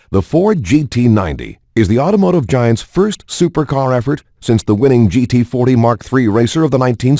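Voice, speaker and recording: A man's voice: mid-range voice, warm tone, no room sound, studio quality. A man reading formal, scripted promotional narration as a voice-over for a game. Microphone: studio voice-over.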